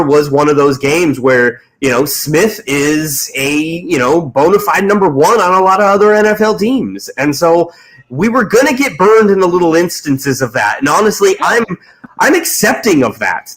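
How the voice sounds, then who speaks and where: A man's voice: a little high, animated, normal speed, a bit bright, rough recording. Opinionated male sports show host. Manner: opinionated, often restarts sentences. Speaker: podcast host. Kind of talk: casual sports talk.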